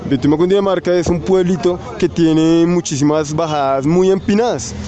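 A man telling a childhood anecdote in a street interview, with an animated storytelling manner. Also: fairly high pitch, normal speed, faint music behind. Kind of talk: street vox pop.

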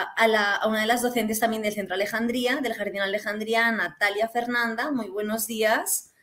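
A woman hosting a talk program, upbeat and emphatic, with frequent fillers. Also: on the high side. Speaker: host.

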